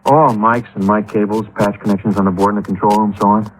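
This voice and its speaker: A helpful, casual studio engineer, voiced by a man talks in an easy, obliging way. His voice sits fairly low. It comes from an old radio broadcast recording. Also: level tone, quick pace, natural voice, rough recording. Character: male studio sound engineer, helpful then coolly guilty.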